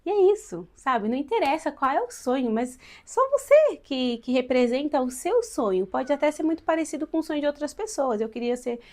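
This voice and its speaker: A woman's voice: high voice, sing-song, brisk, hardly any pauses, darkish tone. A woman in her late twenties from São Paulo with a São Paulo accent, a teacher giving viewers chatty, encouraging advice in a casual vlog. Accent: São Paulo Brazilian Portuguese. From São Paulo, Brazil. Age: late 20s. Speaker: vlogger and Portuguese teacher.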